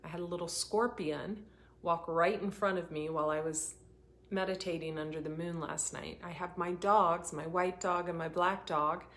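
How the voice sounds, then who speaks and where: A woman hosting a live oracle card reading, talking in an earnest, rambling way, her voice getting hoarse. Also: lowish voice, a bit bright. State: voice going hoarse. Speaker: intuitive reader hosting a livestream.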